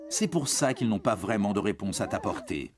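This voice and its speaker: A man's voice: fairly low pitch, big pitch swings, moderate pace. A man reading scripted educational narration for children, explaining things in a reassuring way and speaking directly to the young listener.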